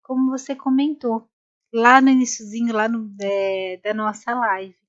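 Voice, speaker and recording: A woman's voice: a little high, flat delivery, measured pace, lo-fi sound. A woman co-hosting a live conversation, telling a story in a rambling way with many restarts. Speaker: co-host of a live talk. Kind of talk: casual chat.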